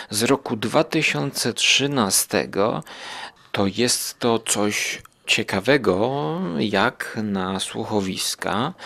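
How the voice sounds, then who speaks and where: A man hosting a podcast, giving an informal review in a halting way, rephrasing as he goes. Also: fairly low pitch, fairly bright tone.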